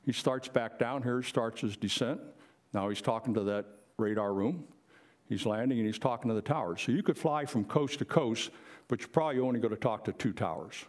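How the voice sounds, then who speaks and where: A man's voice: mid-range voice, fairly quick, a little mellow. An older man speaking plainly and explaining things in an informal presentation to a live audience. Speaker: presenter, retired air traffic controller.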